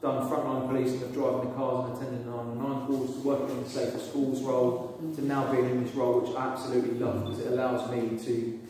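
Police sergeant in an echoey hall. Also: male voice, medium pitch, completely flat delivery, quick pace, few pauses, mellow, slight background noise. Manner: earnest, with hesitant fillers. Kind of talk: community meeting introduction. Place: large echoey meeting room. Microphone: phone camera from across the room. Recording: echoey room recorded from far off.

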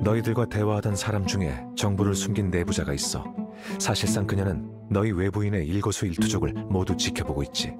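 An actor plays a cool-headed, detached young scholar from a video game. His voice sits low and he explains with composed, analytical precision while music plays behind him. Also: close to an everyday voice. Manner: composed, matter-of-fact explaining. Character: calm, aloof, analytical young male scholar. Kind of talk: Korean game dialogue voice acting.

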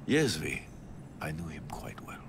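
A man playing a solemn, stern older priest with a gravelly, measured voice in a video game. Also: very animated, exaggerated voice. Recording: clear rumbling background noise.

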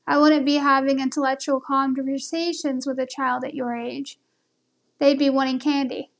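A woman's voice: high-pitched, level tone, normal speed, creaky. A woman video host talking casually in a questioning, conversational way, as if on a phone call.

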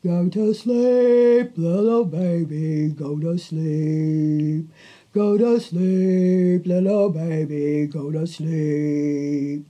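A woman doing a slow, drawling mother cow. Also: medium pitch, close to an everyday voice. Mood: singing. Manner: slow, drawn-out, mellow. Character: slow, gentle mother cow chewing cud.

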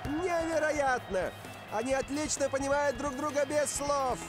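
A man's voice: very high-pitched, over loud music. An actor playing an exuberant, easily amazed older male football commentator in a cartoon. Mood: excited. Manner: exuberant, drawn-out exclamations.

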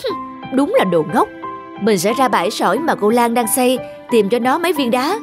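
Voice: medium pitch, very animated, moderate pace, over music, lightly acted. A performer voicing a teasing, dismissive teenage older brother in a cartoon, mocking and offhand. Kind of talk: Vietnamese cartoon voice acting.

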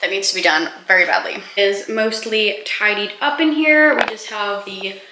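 A young woman talks to a handheld camera in a chatty, excited home vlog, with light laughter slipping into her sentences. The room is echoey, and clear household noise runs behind her. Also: mid-range voice, normal speed. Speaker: vlogger. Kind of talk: casual vlog talk to camera. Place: home living room and kitchen, indoors. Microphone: handheld vlogging camera at arm's length.

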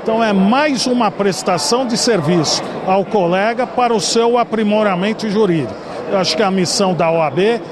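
A man in his forties with a São Paulo Brazilian accent answers a TV interviewer in a formal, measured, speech-like way. His voice sits fairly high, caught on a handheld mic in a busy ballroom with steady noise behind him. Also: crowd noise. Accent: Brazilian Portuguese, São Paulo. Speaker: bar association culture director, guest. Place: large indoor ballroom party. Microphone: handheld mic held by interviewer. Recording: noisy ballroom.

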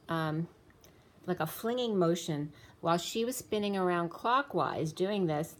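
A woman with a slightly low voice talks through a tarot spread in a loose, rambling way, full of restarts. Her voice is wearing thin and a little hoarse. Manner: rambling, many restarts and fillers, reads off card names and times. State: losing her voice. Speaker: tarot reader. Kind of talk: casual spoken tarot reading. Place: indoors, room with a table of spread cards.

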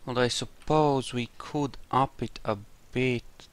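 Slow-talking man with a clear, smooth voice. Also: medium pitch, fairly even intonation. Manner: informal, muttering to himself, sweary. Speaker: let's play host. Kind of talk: casual gameplay commentary, partly role-played.

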